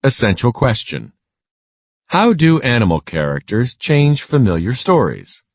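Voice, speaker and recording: A man's voice: mid-range voice, sing-song, measured pace, no echo. A man giving scripted educational narration, reading out instructions and headings for a lesson.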